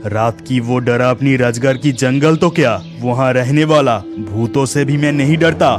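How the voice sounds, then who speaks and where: A man's voice: medium pitch, normal speed, music in the background, natural voice. A man voicing a plucky, boastful young village fisherman in a cartoon story, earnest and insistent.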